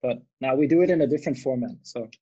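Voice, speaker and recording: A man's voice: mid-range voice, fast talker, mellow, husky, muffled recording. A man from Austria hosting a podcast, chatting in an easygoing, agreeable way. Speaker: podcast host. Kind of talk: casual chat.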